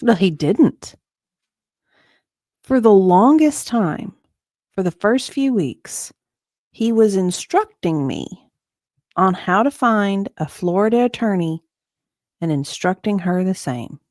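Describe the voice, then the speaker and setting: A woman guest on a livestream, reading a deposition part and chatting in an animated, conversational way. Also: mid-range voice, takes it slowly, pauses often, no room sound, studio quality. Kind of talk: dramatic deposition reading and casual chat.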